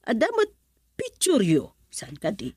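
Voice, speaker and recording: A woman with an Ilocano accent acting a doubtful, probing middle-aged mother and auntie in a radio drama, with drawn-out exclamations. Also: a little high, huge pitch swings, darkish tone, husky, full character voice. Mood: soft, coaxing.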